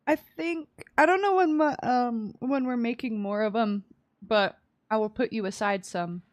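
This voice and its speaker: Girl streamer chatting casually, playful cutesy talk, highish voice, kinda slow, soft music. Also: dry recording. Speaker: live streamer. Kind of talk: casual game stream chat with reactions. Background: soft background music.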